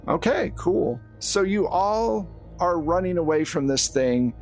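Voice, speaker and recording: A man's voice: high voice, animated, measured pace, a little mellow, soft background music. A man narrating a horror tabletop game as game master, in a casual, conversational storytelling way.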